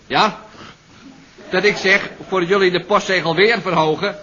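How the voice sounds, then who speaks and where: An actor playing a slangy, rambling young pop musician in an old radio comedy. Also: male voice, high voice, fairly even intonation, unhurried, pushed and bright. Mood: cocky, boastful. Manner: rambling, slangy, full of tags like "you know". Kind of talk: 1970s Dutch radio comedy acting. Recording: muffled old radio recording.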